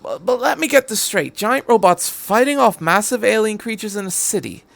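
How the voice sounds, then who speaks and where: Man playing an excitable, high-pitched time-traveller doctor. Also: normal speed, creaky, no echo, full character voice. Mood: excited, curious. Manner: animated, exclaiming, questioning. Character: brash, incredulous, excitable male time-travelling alien doctor.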